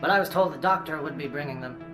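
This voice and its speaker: Man playing a high-voiced, nervous alien merchant. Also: over music, lightly acted. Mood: flustered. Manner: halting broken English, obsequious. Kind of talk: video game character acting.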